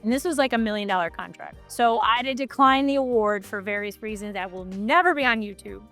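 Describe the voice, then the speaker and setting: A woman's voice: medium pitch, fairly quick, fairly bright tone, faint music behind. A woman from Michigan hosting a casual business chat, talking in an enthusiastic, chatty way full of "like". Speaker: host.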